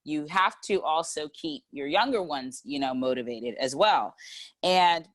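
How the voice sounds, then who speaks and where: A woman's voice: sits low, animated, measured pace. A woman hosting her own channel, asking questions in a casual video interview, often laughing between remarks. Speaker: host of a frugal living channel.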